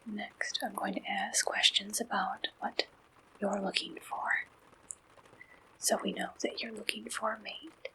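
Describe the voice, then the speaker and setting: A woman performing an ASMR roleplay, gently and deliberately asking questions like an interviewer. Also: mid-range voice, flat delivery, low-quality recording.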